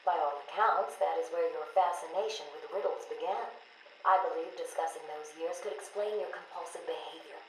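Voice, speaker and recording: A woman playing a measured, patient psychiatrist in a game, with a formal, clinical and quietly weary manner. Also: low-pitched, roomy sound, slight background noise, rough recording. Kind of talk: video game voice acting.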